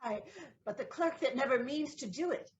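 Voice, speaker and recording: A woman's voice: high voice, lively intonation, moderate pace, slight background noise. A woman playing a saucy, teasing young waiting-woman in a Shakespearean audio play.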